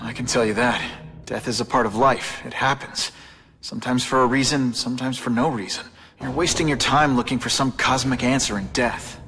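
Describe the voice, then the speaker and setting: A man's voice: medium pitch, fairly even intonation, moderate pace, fairly bright tone, close to an everyday voice. An actor playing a young male superhero in a video game, earnest and reproving with dry wisecracks. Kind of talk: video game hero voice acting.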